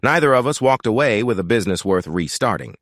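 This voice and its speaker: A man reads a scripted first-person story, measured and sober. His pitch moves around a lot, and the recording is dry and close with no room sound. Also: animated, a bit bright, no room sound. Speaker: narrator.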